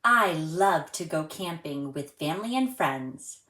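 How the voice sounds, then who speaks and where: Female children's read-aloud host, lowish pitch, unhurried and expressive delivery, roomy echo on the recording. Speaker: story time host reading a children's book aloud. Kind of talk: children's read-aloud storytelling and friendly presenting to young viewers.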